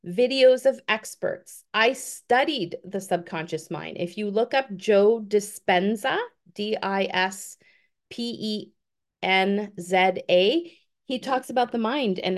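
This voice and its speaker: A woman in her fifties giving an earnest, encouraging motivational talk on a group call. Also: mid-range voice. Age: 50s. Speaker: guest speaker.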